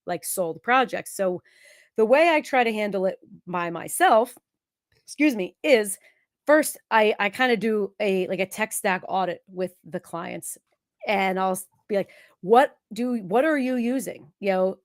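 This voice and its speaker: A woman in her thirties with a cold, hosting a live Q&A as a productivity consultant, chatty and quick to agree. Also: medium pitch, animated, no echo, studio quality. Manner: chatty, self-interrupting, many quick yeses. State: has a cold, sniffling. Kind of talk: live stream Q&A chat.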